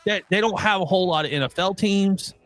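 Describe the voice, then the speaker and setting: A man with a somewhat high voice talks sports in a loose, rambling way, often restarting his sentences. His accent is a blend of Midwestern and Oklahoma speech. Faint music plays behind him. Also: a little fast, dry recording. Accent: mixed Midwestern and Oklahoma. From Midwestern United States. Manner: animated, rambling with many restarts. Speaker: co-host. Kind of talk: casual sports chat.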